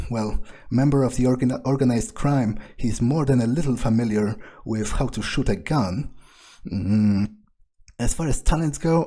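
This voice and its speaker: A man narrating a role-playing game playthrough in a measured, musing way, with hesitant pauses and drawn-out hums. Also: medium pitch, warm tone, breathy edge. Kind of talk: improvised character backstory narration. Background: background music.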